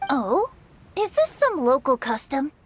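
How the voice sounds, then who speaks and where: A woman's voice: high voice, big pitch swings, a little slow, slight background noise. A woman voicing a light-hearted young male traveling bard in a video game, airy and eloquent. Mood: admiring, playful. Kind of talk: video game character acting.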